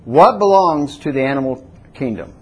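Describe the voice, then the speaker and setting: A man's voice: medium pitch, lively intonation, moderate pace, husky, low-quality recording. A man preaching a teaching sermon in a church, asking the congregation questions and having them repeat words. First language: not Hebrew. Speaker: pastor.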